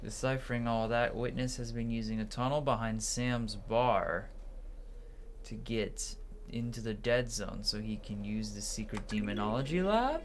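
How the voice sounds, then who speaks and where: A man's voice: mid-range voice, moderate pace, slight background noise. A man doing gameplay commentary, musing aloud to himself and reading game text out. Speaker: gamer doing a playthrough. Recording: music behind the voice. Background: game music.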